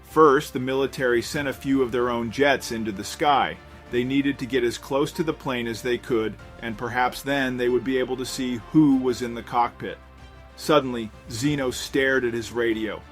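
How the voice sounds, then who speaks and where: A man narrating a scripted suspense story in a dramatic storytelling manner. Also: mid-range voice. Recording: voice-over with music underneath. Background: background music.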